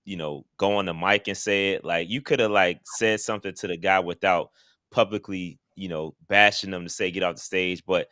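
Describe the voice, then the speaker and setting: Deep bright-toned easygoing man. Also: fairly even intonation, moderate pace, no echo, clean studio sound. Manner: easygoing, slangy, says "man" a lot. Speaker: podcast co-host. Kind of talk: casual podcast chat.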